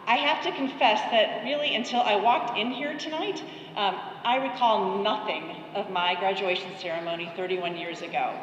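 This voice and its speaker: A woman's voice: mid-range voice, a bit bright, a little hiss or hum. Woman in her 50s, guest speaker at a podium, conversational tone, echoey hall, long reverb, muffled sound. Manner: conversational, informal address. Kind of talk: ceremony speech. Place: large ceremony hall. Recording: echoey, dull hall recording.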